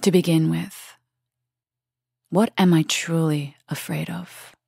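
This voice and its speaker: A woman with a low, husky voice leads a guided meditation, often sinking toward a whisper. Her words come softly and gently, with long pauses and plenty of gravelly fry. The sound is close and very dry. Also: fairly even intonation, dry recording. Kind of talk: guided meditation, soft and soothing delivery.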